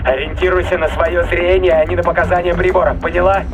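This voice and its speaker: A man's voice: sits high, little pitch movement, fast talker, music in the background, natural voice, poor audio. An actor playing an urgent, insistent male crewmate giving warnings in a sci-fi audio drama.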